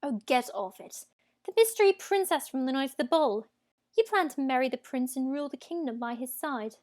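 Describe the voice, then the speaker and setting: A woman's voice: sits high, animated, fairly quick, darkish tone. A woman acts out a middle-aged villain queen in a fairytale audio drama. She is formal, coldly imperious and full of menace, a schemer used to being obeyed. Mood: accusing.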